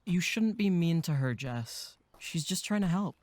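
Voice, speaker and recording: A woman voices a young male camp counselor, friendly and easygoing. The voice is low for a woman and recorded dry, with a gentle, peacemaking way of talking suited to audio drama. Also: expressive, measured pace, dry recording. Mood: reassuring, mild. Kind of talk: horror audio drama acting.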